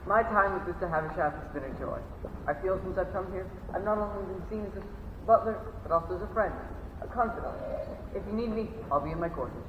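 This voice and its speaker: A man's voice: high-pitched, fast talker, close to an everyday voice. A teenage boy on stage playing a dutiful, formal manor butler with stiff politeness, in a farce. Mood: earnest, formal. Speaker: student actor. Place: school theatre stage at rehearsal. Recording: echoey theatre, tinny, noisy, from far off.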